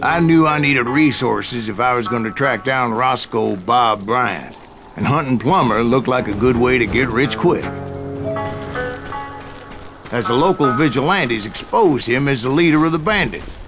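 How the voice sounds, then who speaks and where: A man's voice: medium pitch, lightly acted. Game role: a man plays an aging bounty hunter with a Western drawl, folksy raconteur, medium register, music underneath. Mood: grim, proud. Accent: American Western. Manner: folksy, unhurried storyteller. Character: old bounty hunter spinning tales of his gunslinging past. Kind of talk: scripted in-character storytelling narration.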